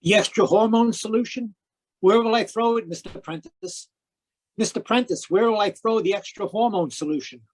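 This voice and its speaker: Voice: mid-range voice, normal speed, close to an everyday voice, low-quality recording. An actor playing a confused, deferential boy who helps in the garden, hesitant and questioning, in old-time radio drama style. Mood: insistent. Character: tired, confused, deferential young male garden helper. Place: live video call performance. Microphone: video call microphone.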